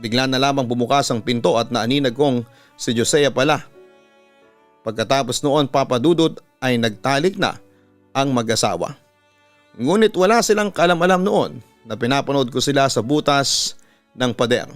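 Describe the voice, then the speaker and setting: A man's voice: mid-range voice, animated, moderate pace. A Filipino man, a radio announcer, reading out a confessional letter as a dramatic storyteller. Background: background music.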